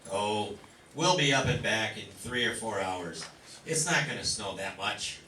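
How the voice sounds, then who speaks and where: A man's voice: on the low side, expressive, unhurried, bright, forceful tone. A man playing a stubborn, nostalgic family father in an old-time radio comedy. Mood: insistent. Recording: roomy, distant mic, faint noise.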